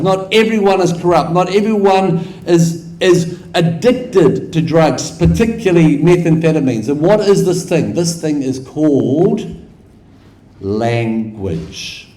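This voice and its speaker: Slow, echoey New Zealand lecturer. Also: male voice, fairly high pitch, a little mellow. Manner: rhetorical, repeats phrases, quizzes the audience. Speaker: guest lecturer. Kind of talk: conference lecture with audience questions. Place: lecture hall. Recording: echoey hall.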